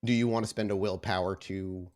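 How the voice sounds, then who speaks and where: A man improvising narration as game master of a tabletop game, with a halting delivery full of repeated words. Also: low-pitched, fairly even intonation. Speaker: game master of an actual play podcast.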